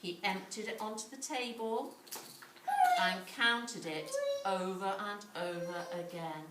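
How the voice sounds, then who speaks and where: Female children's story reader, unhurried, expressive drawn-out phrasing, recorded a little distant in a reverberant room. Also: medium pitch. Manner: dramatic, drawn-out emphasis. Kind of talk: animated children's story reading. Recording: echoey room, distant mic, faint noise.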